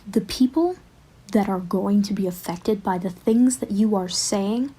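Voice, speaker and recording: A woman leaving an earnest recorded complaint message, speaking in halting, deliberate, formal phrases. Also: medium pitch, warm tone, slightly rough. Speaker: voicemail sender.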